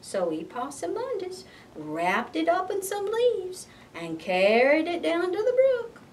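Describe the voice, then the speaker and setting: A woman reading a picture book aloud as expressive narration, like a librarian at story time. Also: very high-pitched, a little slow, recorded from a distance, a little hiss or hum.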